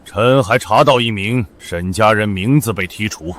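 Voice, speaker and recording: An actor voicing a formal, deferential court official of the underworld in a costume drama. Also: male voice, fairly low pitch, moderate pace, fairly bright tone, slight background noise, natural voice.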